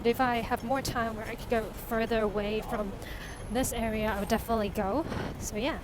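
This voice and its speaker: A woman whose first language is Cantonese, chatting casually to a vlog audience in a halting, self-correcting way. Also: a little high, steady tone, noisy background. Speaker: vlogger and novelist.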